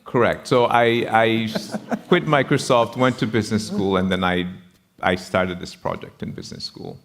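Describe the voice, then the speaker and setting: A middle-aged man with a Ghanaian accent, explaining his work on a panel in a measured, deliberate way with pauses between phrases. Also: lowish voice, gravelly, fairly bright tone. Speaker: panelist, university founder. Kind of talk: panel discussion, explanatory speech.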